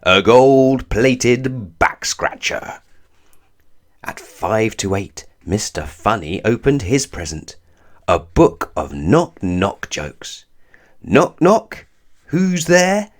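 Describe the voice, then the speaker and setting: A man reading a children's story aloud in an expressive, deliberate way, drawing out words for emphasis. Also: fairly low pitch. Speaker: storybook reader. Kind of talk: scripted children's story narration read aloud.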